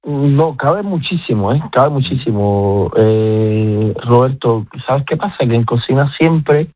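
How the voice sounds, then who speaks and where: A man's voice: mid-range voice, animated, measured pace, no room sound. Cuban-accented man on a phone line. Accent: Cuban Spanish. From Cuba. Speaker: chef and guest. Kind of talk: phone-in radio interview. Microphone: telephone.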